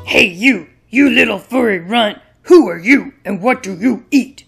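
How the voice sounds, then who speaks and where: Puppet show role: brash braggart bullfrog played by a woman, very creaky, drawn-out delivery. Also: on the high side, expressive, soft background music. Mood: brash, nosy. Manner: slow, drawling, bragging. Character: boastful brash big wide-mouthed male bullfrog.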